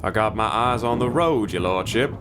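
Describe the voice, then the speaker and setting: Actor as low-voiced sardonic adventurer. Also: male voice, lively intonation, moderate pace, natural voice. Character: gruff, sardonic, fearless frontier adventurer. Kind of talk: audio drama acting. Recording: clear vehicle noise behind.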